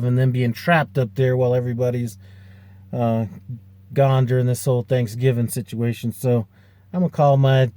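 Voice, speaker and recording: A man's voice: mid-range voice, mellow, dry recording. A man in his fifties, a truck driver, chatting casually to camera in a rambling way with lots of fillers and restarts. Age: 50s. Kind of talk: casual vlog chat.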